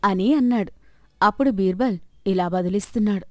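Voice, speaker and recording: A woman storyteller narrates a scripted moral tale. Her voice sits at a middle pitch, and the recording is very dry, with no room sound. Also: a little fast, no echo.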